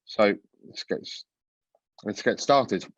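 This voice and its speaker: Congested man with a low voice. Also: takes it slowly, dry recording, highs cut off. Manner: relaxed, many fillers and restarts. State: congested from a cold. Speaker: product coach giving a webinar talk. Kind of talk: presenting a webinar. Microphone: video call.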